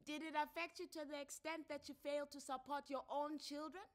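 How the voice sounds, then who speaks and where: A woman voicing a measured, formal female court counselor who questions deliberately in a dramatised story reading. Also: high-pitched, fairly even intonation, pitched above the performer's own voice, natural voice. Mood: probing, skeptical. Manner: deliberate, questioning, drawn-out phrasing.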